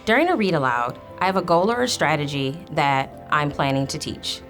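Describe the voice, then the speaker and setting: A woman's voice: low-pitched, lively intonation, normal speed, fairly bright tone, soft background music. A woman who teaches young children, speaking instructively as she explains lessons, reads and guides pupils. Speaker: elementary school teacher. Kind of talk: explaining a lesson, reading aloud, questioning pupils.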